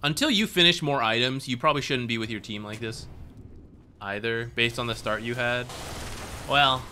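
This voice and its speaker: A man's voice: medium pitch, moderate pace, bright, forceful tone, slight background noise. A young man from the United States streaming as he reviews game replays and reads chat, laid-back and thinking aloud. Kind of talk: casual commentary while reading chat.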